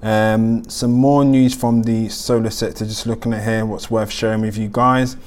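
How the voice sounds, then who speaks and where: A man's voice: on the low side, flat delivery, moderate pace, a little mellow. A man hosting a weekly news roundup, reading the items with many ums and uhs. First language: not German. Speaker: host.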